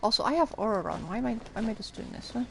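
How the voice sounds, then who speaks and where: A woman's voice: a little high, expressive, rapid-fire. A woman giving casual live gameplay commentary, chattily thinking aloud to herself. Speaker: game streamer. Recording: clearly noisy background.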